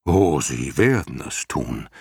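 A man's voice: rumbling low, big pitch swings, takes it slowly, a little mellow, slightly rough, dry recording, studio quality, voice pushed down, heavily acted. A man reading an audiobook, voicing a big, timid male dragon who worries and warns. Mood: worried. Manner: worried, cautionary. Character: large male luck dragon, timid and excitable. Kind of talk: audiobook character voice.

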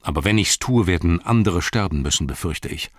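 A man voicing a grave, weary old wizard in a fantasy audiobook, speaking slowly and with weight. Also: low-pitched, lively intonation, dry recording, clean studio sound. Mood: heavy resolve. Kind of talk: fantasy audiobook character reading.

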